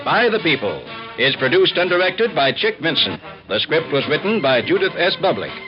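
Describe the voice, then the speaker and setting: A man's voice: on the high side, big pitch swings, normal speed. A man announcing from a script on a muffled vintage radio program, with music behind him. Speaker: actor and announcer.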